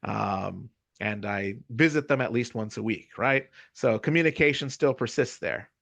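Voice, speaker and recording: A man's voice: lowish voice, moderate pace, vocal fry, a bit bright, dry recording. A middle-aged American man with a Californian accent giving a casual, chatty lecture, often checking in with the listener. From United States. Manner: casual, chatty, frequent "you know" and "right?" tag questions. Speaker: university lecturer. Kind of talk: conversational lecture.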